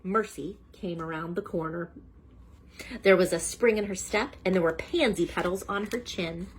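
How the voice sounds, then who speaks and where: A woman storyteller reading a children's book aloud in an animated style. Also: lowish voice, normal speed, slight background noise, poor audio.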